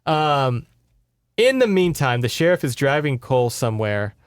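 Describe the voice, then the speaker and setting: A man's voice: medium pitch, very animated, moderate pace, clean tone, dry recording, studio quality. A man hosting a podcast, casually recapping a story with sarcastic, exasperated, sweary asides. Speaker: podcast host. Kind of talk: casual recap of a horror novel.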